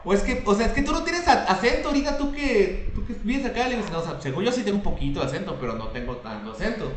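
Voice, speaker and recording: Male streamer, fast joking chatter, echoey room. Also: sits high, very animated. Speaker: streamer host. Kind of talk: casual chat.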